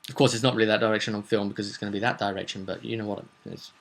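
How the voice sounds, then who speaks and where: A man's voice: lowish voice, steady tone, rapid-fire, creaky. A man speaking as a game master, recapping a roleplay session in a casual, rambling, digressive and jokey way. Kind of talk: casual rambling vidcast storytelling.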